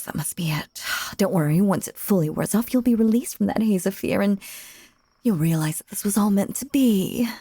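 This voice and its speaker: A woman playing a sinister, possessive stage magician in a dramatic audio roleplay, with breathy, coaxing, theatrical delivery and syrupy menace. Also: mid-range voice, mellow, no echo. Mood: soothing, coaxing.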